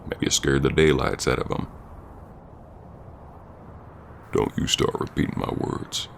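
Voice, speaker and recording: A man playing a stern, gentlemanly Old West bounty hunter with a gravelly voice and folksy talk, in an ASMR roleplay. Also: a little hiss or hum. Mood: indignant. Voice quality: very deep, gravelly. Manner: slow-burning, stern gentlemanly drawling talk with folksy grammar. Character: gruff, principled Old West bounty hunter, male, wounded ex-lover. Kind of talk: ASMR roleplay acting addressed to the listener.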